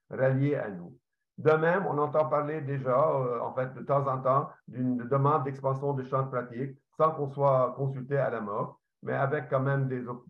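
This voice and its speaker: A man giving an earnest conference talk as an ophthalmologist presenter, restarting now and then. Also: mid-range voice. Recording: echoey, dull lo-fi audio.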